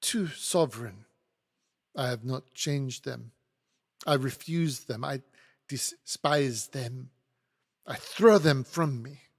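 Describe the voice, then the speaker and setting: A man's voice: a little high, measured pace, natural voice. Man playing a scornful French maid in a reverberant room. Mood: fierce disdain. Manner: scornful, insistent, foreign phrasing. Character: fierce, disdainful French maid, a cunning vixen-like woman.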